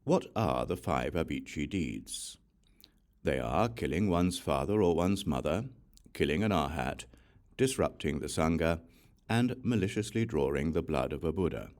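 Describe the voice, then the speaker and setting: A man reading as a deep, solemn Buddha. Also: animated, a little mellow. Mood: solemn instruction. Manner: solemn, deliberate, didactic. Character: serene, authoritative male Buddha teaching a disciple.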